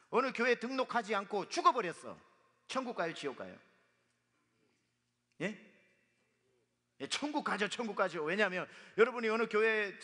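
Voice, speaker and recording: A man preaching a sermon as pastor, his voice hoarse from dust, speaking emphatically. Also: sits high, bright, forceful tone. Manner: emphatic, with sung and quoted lines. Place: church sanctuary. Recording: long reverb of a hall.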